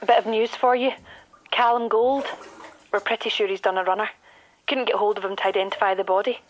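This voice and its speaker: Actress as informal, clipped woman detective, medium pitch, friendly, radio drama. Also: steady tone, brisk, a little hiss or hum, lightly acted, low-quality recording. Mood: pleased, businesslike. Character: brisk, friendly female detective constable.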